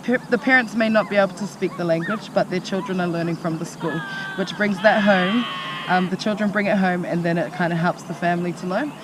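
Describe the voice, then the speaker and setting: A young man, speaking earnestly and hesitantly with many pauses as an interviewee. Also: sits high, steady tone. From Australia. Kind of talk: casual personal account. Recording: outdoor street noise, passing vehicles.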